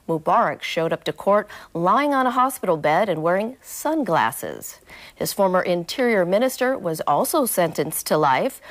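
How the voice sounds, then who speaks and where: A woman reading scripted news stories as a news anchor. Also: lowish voice. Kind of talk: scripted newsreel narration.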